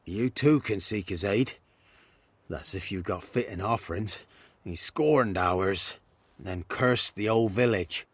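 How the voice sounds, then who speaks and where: A man's voice: lowish voice, unhurried, fairly bright tone, breathy edge, dry recording. An actor playing a humble, superstitious male villager in a video game, speaking earnest rustic dialect. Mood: solemn. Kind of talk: video game character acting.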